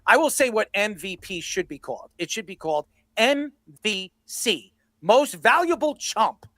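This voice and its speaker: Man, sports host, very bright voice, dry close sound, combative, ranting, blunt debate style. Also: sits high, animated, unhurried, no echo.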